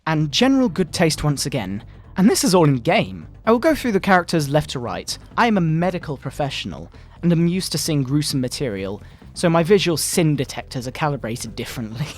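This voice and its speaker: Man putting on a pompous, high-pitched fire-and-brimstone moral crusader. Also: sing-song. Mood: indignant, preachy. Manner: pompous, melodramatic preaching with drawn-out words. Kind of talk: mock-dramatic satirical reading.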